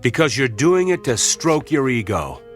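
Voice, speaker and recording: A principled, wry male doctor played by a man in a radio play. He speaks at a middle pitch with lively swings and a dry, doubting edge, over faint music. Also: moderate pace, close to an everyday voice. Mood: reproachful. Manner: dry, reproachful. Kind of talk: theatrical radio drama acting.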